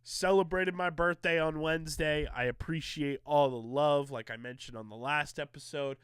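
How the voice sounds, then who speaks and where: A man's voice: a little high, steady tone, normal speed, fairly bright tone, clean tone, dry recording, clean studio sound. A male podcast host from the area, sounding a little nasal from allergies, talking sports in an emphatic, hyped-up way.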